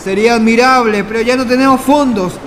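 A man's voice: very high-pitched, brisk, slight background noise. An actor playing a courteous, conciliatory male traveler in a radio drama. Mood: patient. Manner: placating, courteous. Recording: long reverb, music underneath.

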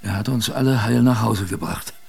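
An actor playing an old grandfather and polar expedition survivor, telling his tale tenderly and proudly in a radio drama, with reflective pauses. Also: on the low side, vocal fry, darkish tone, breathy edge, heavily acted. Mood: moved, proud. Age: older.

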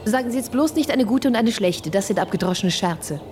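Woman voicing a curt wary wife, middle pitch, noisy recording. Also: lively intonation, fairly quick, natural voice. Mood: guarded. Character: proud wife of a chemist, wary then outraged. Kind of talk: radio drama acting.

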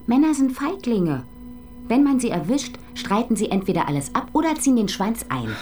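An actress playing an intense, bitter woman visitor who talks in a sharp, insistent and preachy way. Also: a little high, very animated, moderate pace, slight background noise. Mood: scornful.

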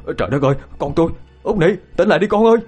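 A man's voice: on the low side, quick pace, darkish tone, faint music behind, close to an everyday voice. A man voicing a village farmer in a storytelling, a fretful young father, humble, flustered and hesitant. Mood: panicked, pleading.

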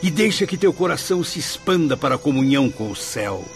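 An actor playing an earnest male spirit in a radio drama, speaking in a measured, declamatory way. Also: on the high side, sing-song. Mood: solemn, exhorting. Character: Camilo, earnest male spirit telling his story. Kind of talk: solemn radio drama acting and narration. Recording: background music under the voice.

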